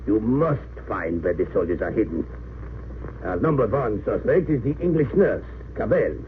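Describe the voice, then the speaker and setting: A tinny, noisy vintage broadcast of an actor playing a stern German army officer. His delivery is commanding and clipped, with wide swings in pitch. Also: natural voice. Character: stern, demanding German lieutenant of the Great War. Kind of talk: 1930s radio drama acting. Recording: tinny, noisy old radio recording.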